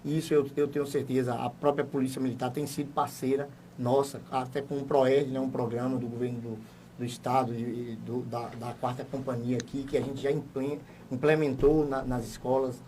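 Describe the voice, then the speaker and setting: A man's voice: mid-range voice, level tone, normal speed, mellow, recorded from a distance, slight background noise. A man, a municipal education secretary, giving a formal, explanatory radio interview and building emphasis as he goes. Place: radio studio.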